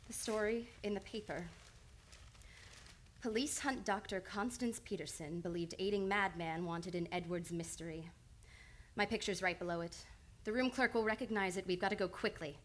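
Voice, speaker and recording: A woman's voice: mid-range voice, moderate pace, close to an everyday voice. A woman playing a composed, earnest psychoanalyst in an old-time radio play, probing and insistent with a patient she cares for. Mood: urgent. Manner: insistent, probing, clinical yet affectionate. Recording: live radio play with background noise.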